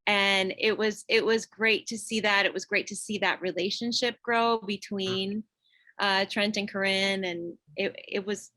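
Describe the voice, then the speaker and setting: A woman's voice: medium pitch, steady tone, a little slow, sharp tone, dry recording. A woman voice actor chatting casually on a panel in a supportive, appreciative way. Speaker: voice actor on an audio drama. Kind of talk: casual retrospective panel chat.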